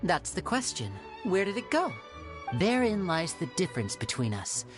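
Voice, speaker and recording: A woman playing a suave, theatrical young male stage magician in an anime game, smooth and showmanlike. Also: lowish voice, big pitch swings, moderate pace. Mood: smooth, showy. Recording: music playing behind.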